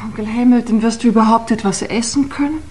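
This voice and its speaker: Woman acting as worried young niece in old radio whodunit, highish voice, bit noisy. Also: fast talker, lightly acted. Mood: anxious. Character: concerned young niece at an English manor. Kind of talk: German crime radio play acting.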